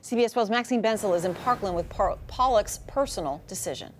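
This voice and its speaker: A woman's voice: mid-range voice, animated, a little fast, a little hiss or hum. A woman with an American accent reading scripted news as a television anchor.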